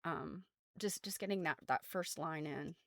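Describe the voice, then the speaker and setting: A woman's voice: low-pitched, unhurried, vocal fry, a bit bright, no echo, studio quality. An American woman hosting a casual craft livestream, explaining things in an informal, rambling way full of trailing asides. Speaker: host of a craft show. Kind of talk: casual livestream chat about quilts.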